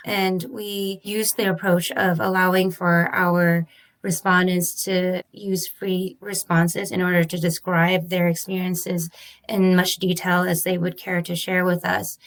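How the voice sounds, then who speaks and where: A woman's voice: fairly low pitch, flat delivery, a little slow. A woman physician and researcher, interviewed as a guest, explaining her study in a careful, methodical and formal way. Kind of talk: measured academic explanation.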